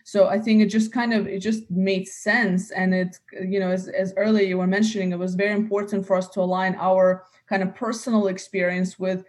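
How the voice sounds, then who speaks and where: A woman's voice: medium pitch, flat delivery, brisk, few pauses, a little mellow. Chatty woman co-founder, lots of asides. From Russia. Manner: chatty, many "you know" asides. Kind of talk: casual interview talk.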